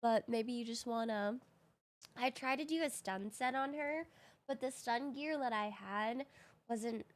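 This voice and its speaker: A woman's voice: a little high, a little slow. A woman live streaming a game in casual, slangy chat, her voice a little groggy from having recently woken up. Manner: slangy, informal.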